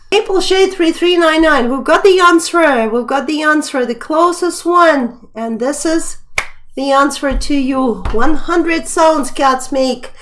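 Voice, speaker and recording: A woman's voice: high voice, a bit bright, a little hiss or hum. A young woman hosting a live stream quiz, chatting casually as she reads out questions and viewer names. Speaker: live stream trivia host.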